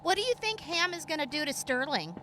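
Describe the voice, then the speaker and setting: A woman's voice: high voice, sing-song, a little fast, bright, forceful tone, slight background noise, exaggerated voice. A woman playing a sassy, teasing mercenary in a post-apocalyptic audio drama.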